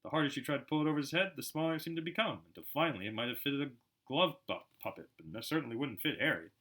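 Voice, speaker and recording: Animated, bright-voiced man reading a story. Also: medium pitch, very fast, poor audio. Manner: animated, dramatic. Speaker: amateur audiobook reader. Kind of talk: expressive storybook narration.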